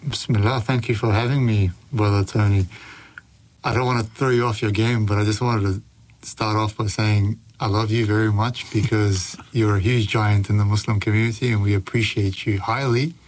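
Low-voiced young New man. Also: moderate pace, poor audio. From Pakistan. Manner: relaxed and chatty, with frequent fillers and laughs. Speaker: guest author. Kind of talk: casual interview talk.

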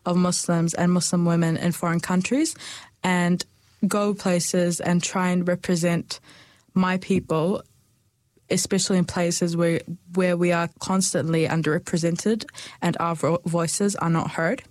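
A young woman, speaking earnestly and thoughtfully about her heritage, with small pauses and restarts. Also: lowish voice, little pitch movement, a little mellow, dry recording. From New Zealand. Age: young adult. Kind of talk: personal reflection.

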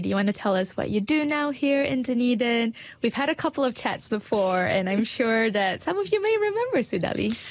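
A woman's voice: medium pitch, dry recording. A woman hosting a community radio interview, upbeat and welcoming. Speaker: radio host.

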